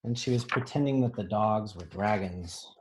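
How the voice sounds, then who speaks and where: A man, an elementary school teacher, reading a picture book aloud expressively and pausing to explain it in simple words for children. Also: on the low side, darkish tone, a little hiss or hum, low-quality recording.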